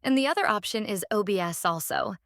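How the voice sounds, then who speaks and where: A woman with a medium-pitched voice walks through a software setup step by step. Her delivery is casual and instructional, with frequent little check-ins to the listener. The sound is dry and close, with very little room in it. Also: lively intonation, brisk, no room sound. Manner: instructional, often checking with "right?". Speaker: tutorial host.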